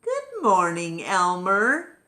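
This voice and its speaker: Woman playing excitable, puzzled storybook elephants. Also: mid-range voice, extremely slow, exaggerated voice. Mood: cheery greeting. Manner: exaggerated questions and exclamations.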